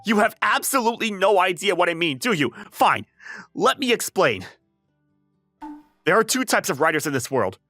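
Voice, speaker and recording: An exasperated high school boy acted by a man with a highly animated, swinging delivery. He is a flustered, introverted young writer from an anime comic dub who lectures dramatically, with music playing underneath. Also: moderate pace, long pauses, heavily acted. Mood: exasperated, frantic. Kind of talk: anime-style comic dub acting with narration.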